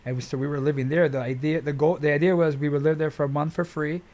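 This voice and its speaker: A man's voice: on the high side, steady tone, fast talker, a little mellow. An American man speaking as a podcast guest and jiu-jitsu trainer, telling stories casually in informal, slangy language.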